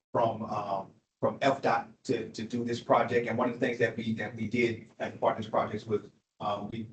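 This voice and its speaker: A man giving a presentation at a public meeting, circling and restarting sentences as he rambles along. His delivery stays on an even, flat line, and the room gives it a noticeable echo. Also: medium pitch, slight background noise. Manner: rambling, self-correcting. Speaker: transit agency presenter.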